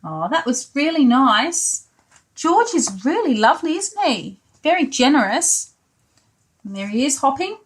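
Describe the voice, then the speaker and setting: A woman with a high voice tells a story to very young children. She takes it slowly, in the manner of a pre-school teacher, with simple child-directed comments on the pictures. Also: very animated.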